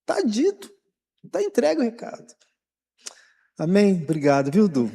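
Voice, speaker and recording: A man's voice: high-pitched, huge pitch swings, measured pace, gravelly, dark tone. A man with a Brazilian Portuguese accent, a pastor leading a Bible study, talking informally and animatedly, repeating words as he encourages the church. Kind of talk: sermon, informal. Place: church hall. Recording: long church reverb.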